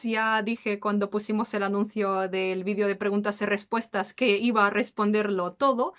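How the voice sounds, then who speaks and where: A woman's voice: a little high, fairly bright tone. A woman in her early thirties, a native Russian speaker with a slight Russian accent, chatting casually as she answers questions as a channel host. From Belarus, later raised in Spain. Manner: chatty, breaks into laughs.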